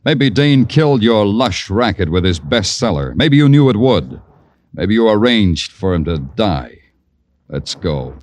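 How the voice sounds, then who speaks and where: Casting: hard-boiled police detective, old-time radio; lowish, lively inflection, wry wisecracks, purple noir narration. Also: male voice, a little slow, no room sound. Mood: hard, accusing. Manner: sardonic, terse, florid noir narration. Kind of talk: 1940s-50s radio detective drama acting.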